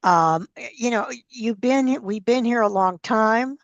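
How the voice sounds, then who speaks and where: A woman's voice: fairly high pitch, unhurried, no echo. A woman hosting a radio interview sounds enthusiastic as she steers her guest with questions. Her voice is close and dry, with slightly dull, lo-fi audio. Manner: enthusiastic, asks leading questions. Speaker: radio show host.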